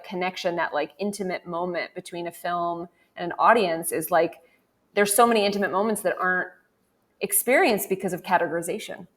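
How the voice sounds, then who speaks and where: A woman's voice: lowish voice, a bit bright. A woman hosting a podcast panel, talking casually as a film curator, rambling with many fillers.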